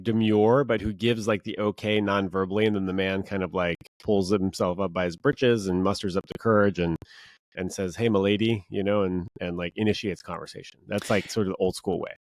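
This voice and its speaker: A man's voice: on the low side, fairly even intonation, fairly quick, no room sound. A man co-hosting a podcast, easygoing and casual, peppering his talk with filler words. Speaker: podcast co-host. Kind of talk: casual chat.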